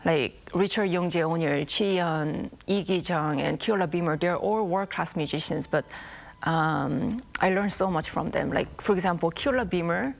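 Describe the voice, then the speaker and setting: A woman's voice: on the low side, normal speed, creaky. A woman in her thirties from South Korea with a Korean accent, answering interview questions thoughtfully with many fillers and restarts. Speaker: filmmaker guest. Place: broadcast studio.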